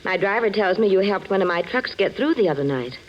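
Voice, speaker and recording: A woman's voice: mid-range voice, lively intonation, fairly quick, lightly acted. Woman acting a poised young lady, muffled radio. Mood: polite. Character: polite, determined young lady rancher. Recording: muffled, hissy old 1940s radio recording.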